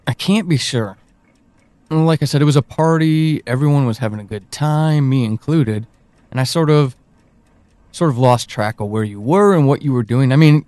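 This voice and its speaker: A man playing an evasive, uneasy friend in an audio drama, speaking in a halting, confessional way. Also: mid-range voice, a little mellow, dry recording, close to an everyday voice. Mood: reluctant. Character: evasive male friend, uneasy, withholding a troubling memory.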